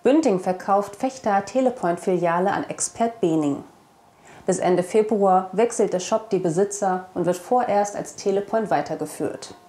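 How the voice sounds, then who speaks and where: A woman's voice: medium pitch, moderate pace, warm tone. A young woman presents scripted weekly news in a studio, speaking into a lapel microphone. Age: young adult. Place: indoor studio. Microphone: clip-on lapel microphone.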